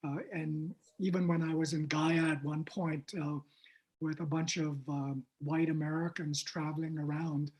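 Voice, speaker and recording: Higher-pitched man moderating a panel. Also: little pitch movement, moderate pace, darkish tone. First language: Hindi. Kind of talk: panel discussion.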